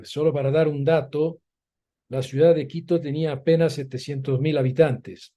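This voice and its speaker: A man's voice: fairly high pitch, warm tone. An older man from Italy, native Italian speaker, answering interview questions in a thoughtful, hesitant way with frequent restarts. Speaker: guest academic.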